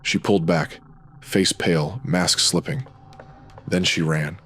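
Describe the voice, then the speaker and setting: A man's voice: deep voice, measured pace, a bit bright, breathy edge, faint music behind. A man narrating scripted supernatural noir in brooding, clipped dramatic phrases.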